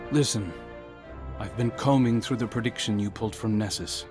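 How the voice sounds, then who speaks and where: Man as a low-voiced, serious investigator. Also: normal speed, over music, natural voice. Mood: urgent, serious. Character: serious male investigator bringing bad news. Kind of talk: scripted video game dialogue.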